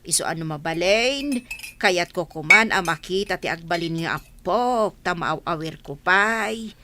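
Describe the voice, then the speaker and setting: A woman's voice: lowish voice, animated, a little slow, pushed and bright, slight background noise. A woman playing an elderly village mother who coaxes insistently, in an amateur radio drama. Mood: pleading. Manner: coaxing, insistent.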